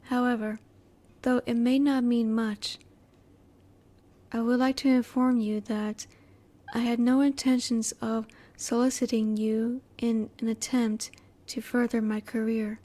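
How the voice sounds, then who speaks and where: A woman voicing a curious, reserved female alien scientist in a roleplay audio, speaking politely in formal, measured, halting phrases. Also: on the high side, little pitch movement, warm tone. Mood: apologetic, formal. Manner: formal, measured, precise wording with halting pauses. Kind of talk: scripted roleplay audio, spoken intimately to the listener.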